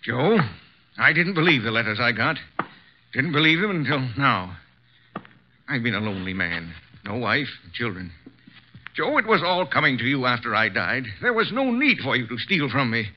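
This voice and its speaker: A man's voice: medium pitch, sing-song, moderate pace, close to an everyday voice. An actor playing a lonely, betrayed old man in a 1950s American radio drama, mournful and pleading. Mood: mournful, reproachful. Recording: dull, noisy 1950s radio recording.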